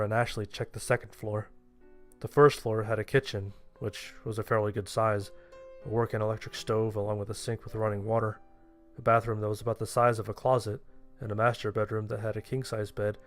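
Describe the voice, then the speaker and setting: A man's voice: lowish voice, flat delivery, brisk, soft background music. A man reading a scripted first-person horror story as its narrator.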